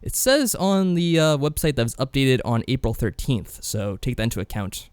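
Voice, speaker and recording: A man co-hosting a podcast, casually discussing games in a matter-of-fact, low-key way. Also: lively intonation, normal speed, clear, resonant tone, no room sound, studio quality. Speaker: podcast co-host.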